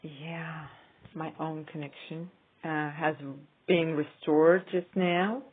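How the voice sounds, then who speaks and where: Slow, halting woman on tinny audio. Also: sits low, little pitch movement, breathy edge. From Ukraine. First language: not English. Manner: hesitant, halting with frequent pauses. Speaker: vlogger. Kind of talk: casual screen-recording commentary.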